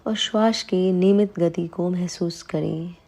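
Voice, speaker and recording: A woman leading a guided meditation, calm and soothing, giving unhurried instructions with long pauses between phrases. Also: lowish voice, vocal fry. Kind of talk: slow guided meditation in Hindi.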